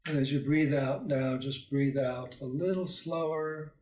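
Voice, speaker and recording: A man teaches a guided meditation at a very unhurried pace. He speaks calmly and soothingly in short phrases with long pauses, at a medium pitch. The sound is echoey, as if the microphone sits some way off. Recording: roomy echo, distant microphone.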